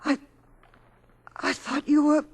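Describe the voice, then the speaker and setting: An actress playing a desperate, frightened woman with an emotional, halting delivery in 1950s radio drama style. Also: close to an everyday voice. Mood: shaken. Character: frightened, desperate young woman in mortal danger. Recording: 1950s radio broadcast with music behind.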